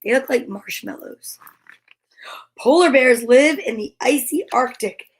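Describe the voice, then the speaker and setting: A woman's voice: high-pitched, animated, measured pace, slightly rough. A woman hosting a live children's story time, reading aloud and chatting to viewers with playful, encouraging exclamations. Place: at home.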